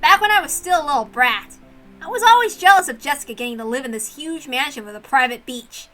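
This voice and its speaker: Cheeky teenage boy acted by a woman reader, bright, brash and teasing, visual novel character. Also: sits high, lively intonation, fast talker, soft background music. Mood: nostalgic, lively.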